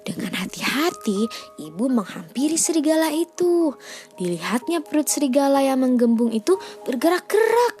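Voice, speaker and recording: A woman narrates a children's fairy tale as its storyteller. The voice is high-pitched, and faint music plays behind it. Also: big pitch swings, moderate pace, darkish tone.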